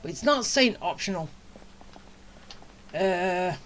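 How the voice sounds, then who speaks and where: A woman giving casual gameplay commentary as a streamer, halting and thinking aloud. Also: on the low side, lively intonation, slight background noise. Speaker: game streamer.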